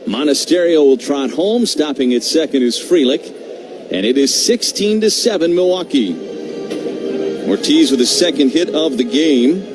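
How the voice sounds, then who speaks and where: A man doing live baseball radio play-by-play in measured calls with pauses between them. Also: fairly high pitch, expressive, music in the background. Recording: noisy live radio broadcast.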